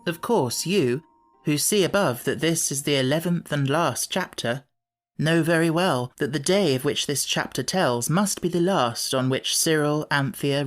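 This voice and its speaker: A woman reading a children's storybook as scripted narration. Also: low voice. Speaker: audiobook narrator.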